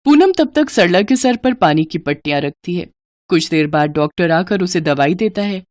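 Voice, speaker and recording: A woman's voice: lowish voice, lively intonation, normal speed, a little mellow, no echo, clean studio sound. A woman narrating a scripted moral story for children as the storyteller.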